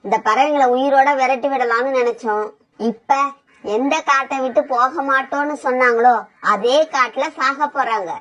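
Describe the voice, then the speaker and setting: A woman's voice: high-pitched, steady tone, normal speed, a bit bright. A woman voicing a bossy female bird, a wife and mother, in a cartoon, talking in a scolding, commanding way. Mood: scheming. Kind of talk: cartoon voice acting.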